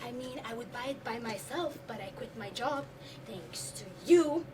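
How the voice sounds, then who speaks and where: A woman's voice: a little high, expressive, normal speed, close to an everyday voice. A young woman, a student stage actor, playing a put-upon housewife who answers back with indignant sarcasm in a live one-act play. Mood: pleading, frustrated. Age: teenager or young adult student. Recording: distant, noisy stage recording.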